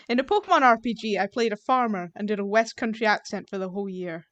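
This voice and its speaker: A woman's voice: medium pitch, a little fast, a bit bright, dry recording. A woman with a Scottish accent chatting casually on a live role-play stream, giggly and playful.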